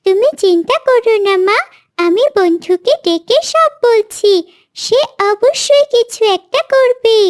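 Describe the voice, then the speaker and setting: A woman's voice: very high voice, a little slow, no echo, clean studio sound. A woman voicing a sweet, eager little girl bird in a cartoon, with a childlike, earnest manner.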